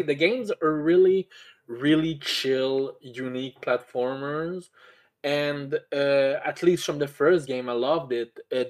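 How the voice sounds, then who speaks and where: A man hosting a casual video game podcast, stammering and repeating words often, swearing freely. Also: medium pitch. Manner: casually foul-mouthed. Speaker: podcast host. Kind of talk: casual chat about video games.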